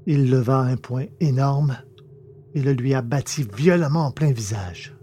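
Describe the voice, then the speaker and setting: A man narrating a science fiction adventure in a measured, dramatic storytelling manner. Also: medium pitch, warm tone, slight background noise. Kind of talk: scripted audiobook narration.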